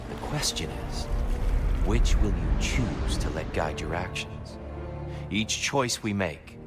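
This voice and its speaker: An actor playing a wise, calm mentor and sparring partner in a video game, speaking in a measured, formal, philosophical manner. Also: male voice, low voice, loud music behind the voice. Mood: thoughtful. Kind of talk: dramatic video game acting.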